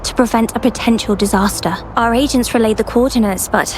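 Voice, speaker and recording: A woman's voice: fairly high pitch, brisk, noisy background, natural voice. A woman voicing a polite, conscientious young field researcher in a video game, earnest and slightly hesitant. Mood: concerned, explaining. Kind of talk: video game character dialogue.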